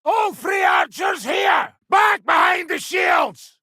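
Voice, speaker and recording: An actor playing a gruff, brash, middle-aged medieval mercenary nobleman in a video game, with a raspy, gravelly voice. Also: very high-pitched, lively intonation, slow, sharp tone, no echo, shouting, studio quality, some chatter behind, full character voice. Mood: urgent battle shouting. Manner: brash, sardonic, swearing.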